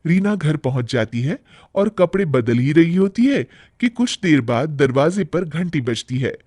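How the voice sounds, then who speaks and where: Guy narrating a kids moral story, high lively voice, scripted read. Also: a little slow, no room sound.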